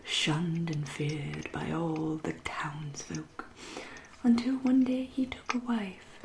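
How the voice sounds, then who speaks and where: A woman voicing a centuries-old aristocratic English vampire in a soft, breathy, often whispery ASMR roleplay, genteel and deliberate, with dry, polite menace. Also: low-pitched, roomy sound, background noise, exaggerated voice. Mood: proud, reminiscing. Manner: genteel, deliberate, drawn-out phrasing with dry irony.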